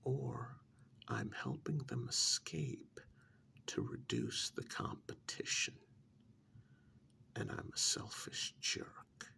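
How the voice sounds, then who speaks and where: A man acting in a soft-spoken ASMR role-play as a sardonic, pampered captive in a dystopia, taunting and condescending in a breathy near-whisper with deliberate pauses. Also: mid-range voice, wildly swooping pitch, full character voice. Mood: amused taunting.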